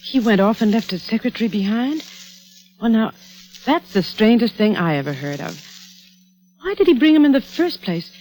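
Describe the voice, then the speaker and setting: Brooding, suspicious wife in old radio drama. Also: female voice, fairly high pitch, very animated, normal speed, pauses often, darkish tone, poor audio. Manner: brooding, questioning. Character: worried, suspicious wife running a failing dude ranch. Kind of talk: 1940s radio drama acting with first-person narration. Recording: vintage radio broadcast with music.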